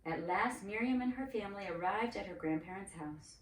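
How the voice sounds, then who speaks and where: A woman reading a children's story aloud expressively, with dramatic, deliberate phrasing. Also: mid-range voice. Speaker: storybook reader, cantor. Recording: roomy, distant mic with faint noise.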